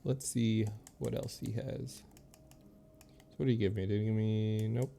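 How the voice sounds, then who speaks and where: A man giving casual, low-key gameplay commentary to himself and his stream viewers. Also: fairly even intonation, normal speed, gravelly, dark tone. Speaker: game livestreamer.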